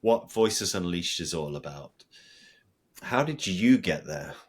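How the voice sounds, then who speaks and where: A very deep-voiced man with a husky edge hosts the podcast. He asks his questions slowly and probes gently. He never rushes his words. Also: expressive. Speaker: podcast host. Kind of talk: interview questions.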